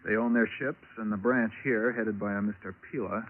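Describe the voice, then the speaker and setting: Brief: low-voiced undercover agent played by a man, hushed, thin tinny radio sound. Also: fairly even intonation, moderate pace, slight background noise, lightly acted. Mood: conspiratorial. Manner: low, conspiratorial. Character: cagey male undercover marine investigator. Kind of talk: 1940s radio acting.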